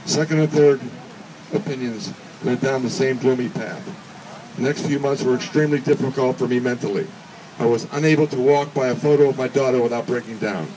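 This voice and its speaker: A man reading a prepared speech at a charity event in an earnest, measured way, occasionally stumbling over words. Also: medium pitch. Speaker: speaker reading another person's speech. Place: charity event finish line, before a gathering. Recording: distant PA speech with background noise.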